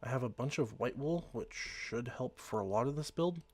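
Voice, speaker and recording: A man with a slightly hoarse voice from being sick, casually talking through his gameplay in a rambling, easygoing way. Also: medium pitch, lively intonation, moderate pace. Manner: rambling, easygoing, self-correcting. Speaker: let's play host.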